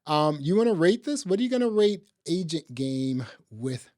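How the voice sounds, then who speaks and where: A man's voice: on the high side, very animated, normal speed, darkish tone, no room sound, studio quality. A man hosting a podcast, chatting casually about movies in a conversational way peppered with little asides. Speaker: podcast host. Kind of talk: casual movie review chat.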